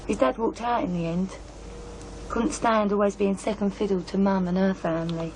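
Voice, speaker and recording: A woman's voice: medium pitch, steady tone, normal speed, a little mellow, a little hiss or hum, close to an everyday voice, poor audio. An actress playing a guarded, matter-of-fact young working-class woman with a British accent and blunt colloquial speech in an audio drama. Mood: bitter, matter-of-fact. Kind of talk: radio drama dialogue.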